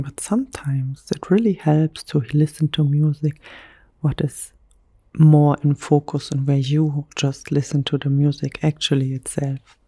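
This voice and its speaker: Slow soft-whispering German woman. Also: sits low, no echo. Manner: soft, slow, unhurried whisper with gentle pauses. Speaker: ASMR creator. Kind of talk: whispered ASMR chat.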